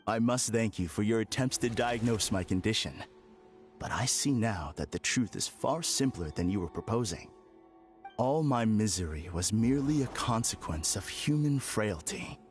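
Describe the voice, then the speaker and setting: Male actor voicing eerily courteous game villain, lowish voice, measured and formal. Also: lively intonation, soft background music, lightly acted. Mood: serenely polite. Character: eerily serene polite man, unsettling villain. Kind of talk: video game cutscene dub.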